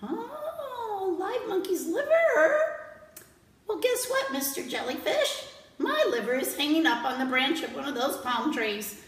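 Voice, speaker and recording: A woman voicing a jittery, sly male monkey who talks in a squeaky, excited way and repeats his words. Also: unhurried, exaggerated voice. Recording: roomy, from far off.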